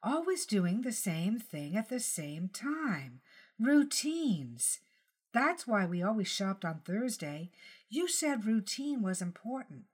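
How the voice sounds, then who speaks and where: A woman's voice: medium pitch, big pitch swings, takes it slowly, breathy edge, heavily acted. A woman voicing an anxious, wistful elderly wife in an audiobook story, speaking hesitantly and earnestly. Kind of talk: audiobook character dialogue.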